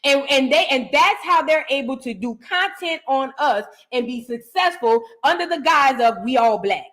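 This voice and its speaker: A woman hosting a casual livestream, chatty and animated, reading out viewer messages and giving her opinion. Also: sits high, moderate pace. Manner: chatty, animated, reads out viewer super chats. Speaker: livestream host. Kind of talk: casual livestream reaction chat.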